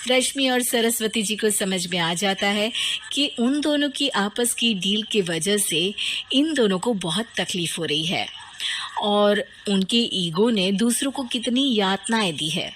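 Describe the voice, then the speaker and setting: A woman's voice: medium pitch, animated, measured pace, a bit bright. A woman narrating a scripted cartoon family story, with faint background noise.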